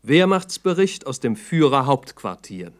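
A man's voice: medium pitch, big pitch swings, a little slow. A man narrating a scripted radio documentary in a formal, factual reporting manner.

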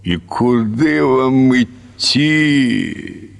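A man's voice: medium pitch, animated, very slow, gravelly, heavily acted. An actor playing a gloomy, tipsy provincial man who lays down his views in a ponderous drawl, in a radio play. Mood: tipsy, coaxing. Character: drunken gloomy provincial man preaching that everything is superfluous. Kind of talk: Russian radio play acting.

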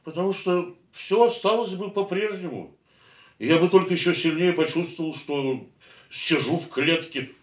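A man's voice: on the high side, moderate pace, natural voice. An actor in a radio drama playing a world-weary, brooding yet tender man, with theatrical intonation. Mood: brooding, bitter. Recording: roomy echoing radio studio, music underneath.

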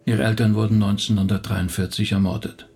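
An actor in a radio drama playing a reserved, courteous middle-aged Swiss rabbi. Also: male voice, fairly low pitch, little pitch movement, a little fast, vocal fry, darkish tone, breathy edge. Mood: guarded, reflective.

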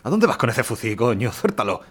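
An actor playing a gruff, swearing, reluctant man. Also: medium pitch, big pitch swings, brisk, fairly bright tone, slight background noise.

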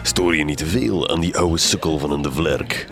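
A man's voice: very deep voice, moderate pace, fairly bright tone, soft background music. A man with a Flemish accent playing a pompous, sardonic, scheming doctor with florid speech in a gothic comedy radio drama. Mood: sardonic gossip. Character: pompous, scheming doctor, grandmaster of a secret society.

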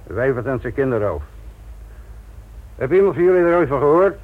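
An actor playing a blunt, sardonic, middle-aged police inspector lecturing trainees in a Dutch radio play. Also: male voice, mid-range voice, animated, a little fast, natural voice. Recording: tinny, noisy old radio play recording.

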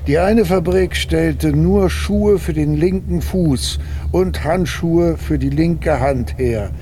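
An actor playing an older, nostalgic Soviet official in a radio drama, speaking with matter-of-fact irony. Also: male voice, slow pace, warm tone. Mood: wry, reminiscing. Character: wry, nostalgic high-ranking Soviet official, older man. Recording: clear rumbling underground station noise. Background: subway station ambience.

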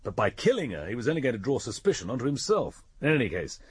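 Husky, shrewd English police inspector. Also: male voice, mid-range voice, big pitch swings, dry recording, clean studio sound. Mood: musing, businesslike. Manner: measured, probing. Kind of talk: British radio drama acting.

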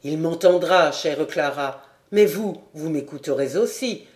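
A woman's voice: sits low, a little slow, darkish tone. A woman voicing an earnest, resolute young nobleman who declares his feelings in a storybook reading.

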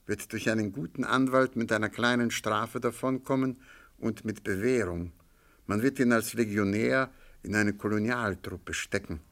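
An actor playing a shrewd amateur sleuth in a radio play, speaking in a measured, politely ironic way. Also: male voice, fairly low pitch, a bit bright. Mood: calm irony.

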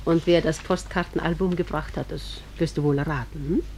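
A woman playing an affectionate, busy small-town mother in a radio drama, brisk and motherly. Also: low voice, steady tone, a little mellow, slight background noise. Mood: fond, busy.

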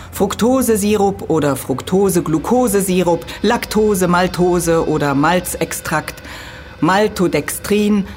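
A woman's voice: lowish voice, darkish tone. A woman playing a middle-aged professor in an audio drama, lecturing precisely and patiently correcting others with firm emphasis. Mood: didactic. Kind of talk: German audio drama dialogue.

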